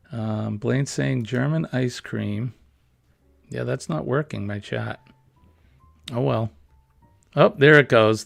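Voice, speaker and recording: Creaky-voiced man hosting a casual chat. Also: medium pitch, no room sound. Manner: chatty, reads viewer comments aloud with laughs. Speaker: host.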